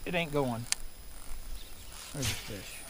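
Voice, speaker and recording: Man, 40s, fishing channel host on a boat. Very lively pitch, excitable, outdoor camera audio. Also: slow pace, heavy background noise. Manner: excitable, loud bursts when fish hit. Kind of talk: casual fishing commentary. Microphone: action camera on the boat.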